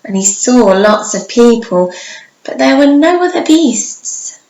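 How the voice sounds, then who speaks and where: A woman reading a children's story aloud like a primary teacher, with dramatic pauses and drawn-out words for young listeners. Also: a little high, animated, a little mellow, dull audio. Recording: echoey room, faint background noise.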